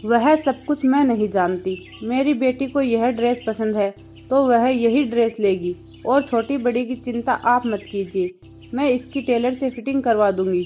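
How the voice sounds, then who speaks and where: A woman's voice: fairly high pitch, a little slow, warm tone, soft background music, natural voice. A woman voicing a domineering bird stepmother with a bossy, curt manner in a cartoon story. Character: domineering, unkind bird stepmother, adult female. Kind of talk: Hindi cartoon story dialogue.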